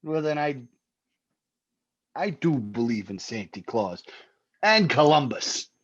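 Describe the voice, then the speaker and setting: Male actor as grudging skeptic, slangy, unhurried, swooping intonation, old-time radio comedy. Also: mid-range voice, very animated, husky, highs cut off, heavily acted. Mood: sheepish. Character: gruff, skeptical grown man who doubts Santa. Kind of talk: 1950s radio comedy acting.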